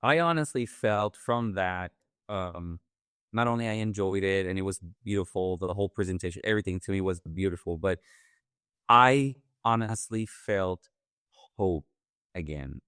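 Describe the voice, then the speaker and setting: A man's voice: sits low, moderate pace, fairly bright tone, clear, resonant tone, no room sound, clean studio sound. A man with a Puerto Rican accent co-hosting a conversation about mental health, earnest and reflective as he thinks aloud. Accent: Puerto Rican Spanish. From Puerto Rico. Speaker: podcast co-host. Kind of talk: therapy-informed conversation.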